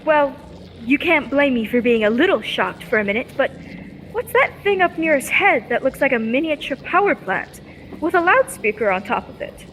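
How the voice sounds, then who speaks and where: A woman playing a spirited, caring young heroine in an old-time radio space serial, earnest and expressive. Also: high voice, moderate pace, long reverb tail, slight background noise, low-quality recording. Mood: alarmed, worried.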